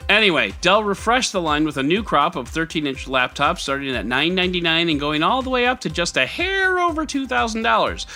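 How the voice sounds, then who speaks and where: A man's voice: high-pitched, very animated, a little fast, hardly any pauses, bright, forceful tone, soft background music. A man hosting a tech news podcast, reading scripted items with jokey, mock-dramatic and sarcastic asides. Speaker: podcast host.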